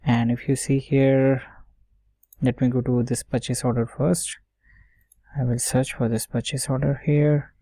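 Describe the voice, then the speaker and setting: A man talking through an informal screen-share coding tutorial, thinking aloud step by step in a halting way and often checking himself. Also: medium pitch, flat delivery, warm tone, no echo. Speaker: software tutorial instructor.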